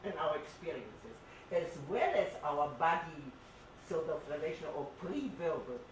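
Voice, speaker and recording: An older woman speaking from the audience, making a discursive, halting academic comment in an explanatory way. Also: low-pitched, expressive. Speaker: audience member commenting on art. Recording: echoey, noisy hall, far from microphone.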